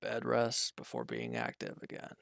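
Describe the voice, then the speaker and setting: A man playing an injured, weary villain in a roleplay audio drama, guarded and quietly considerate, speaking in halting, hesitant lines. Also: very animated, creaky, no echo, clean studio sound, exaggerated voice. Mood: weary, reluctant. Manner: hesitant, halting, low-key, grudging. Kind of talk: roleplay audio drama, intimate one-sided dialogue.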